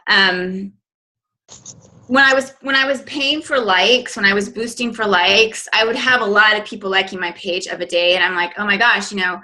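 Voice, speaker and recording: A woman's voice: mid-range voice, steady tone, moderate pace, pushed and bright, rough recording. A woman speaking as a guest coach on a group call, chatty and informal, often quoting little asides as she explains.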